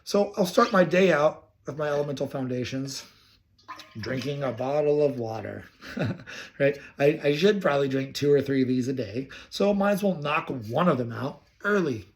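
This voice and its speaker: A young man with a hoarse voice from laryngitis, talking casually and reflectively to the camera, rambling and correcting himself as he goes. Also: fairly high pitch, lively intonation, normal speed. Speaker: counseling student. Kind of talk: casual personal talk to camera.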